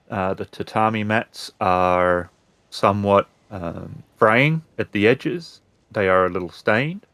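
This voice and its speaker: A man acting as game master, narrating a tabletop roleplaying game in measured, piecemeal phrases. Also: on the low side, no echo.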